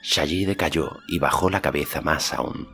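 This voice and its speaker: Very deep, gravelly male audiobook narrator. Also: measured pace, bright, forceful tone, soft background music. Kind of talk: scripted storybook narration.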